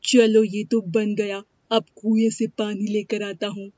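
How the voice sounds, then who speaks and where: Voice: mid-range voice, level tone, measured pace, a little mellow, dry recording, close to an everyday voice. Performer plays young male cobbler in a moral-story cartoon: meek, deferential, plaintive, close dry lo-fi sound. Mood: forlorn. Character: poor, humble, pleading orphan cobbler boy. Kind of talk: animated moral-story dialogue. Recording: dry, dull lo-fi studio sound.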